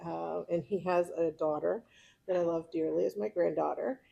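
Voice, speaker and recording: A woman's voice: lowish voice, steady tone, normal speed, vocal fry, warm tone, lo-fi sound. A middle-aged woman from California with an American accent, chatting informally about herself to a club, often chuckling. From California, United States. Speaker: club member giving a personal talk. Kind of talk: informal autobiographical speech.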